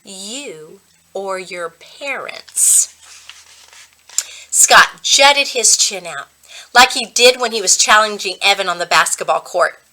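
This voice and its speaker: A woman reading a children's book aloud as a reading coach, with expressive, dramatic storytelling. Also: mid-range voice, moderate pace, low-quality recording.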